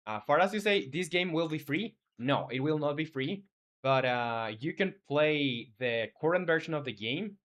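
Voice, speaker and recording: Guy streaming his game dev, average pitch, casual and kinda hesitant, chatting with viewers. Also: a bit bright. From Chile. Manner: informal, hesitant, reads viewer messages aloud and replies. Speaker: game developer live streamer. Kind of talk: casual livestream chat.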